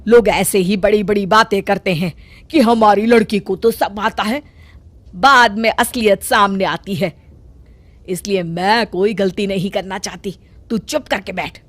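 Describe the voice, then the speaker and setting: A woman's voice: mid-range voice, unhurried, no room sound, close to an everyday voice. A woman voicing a domineering, scolding middle-aged mother-in-law in a cartoon story. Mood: commanding. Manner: scolding, commanding.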